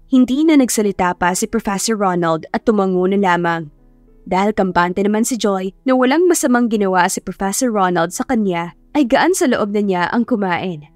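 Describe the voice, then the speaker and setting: A woman's voice: medium pitch, lively intonation, normal speed, no room sound. A woman narrating a scripted story as an audiobook narrator. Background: background music.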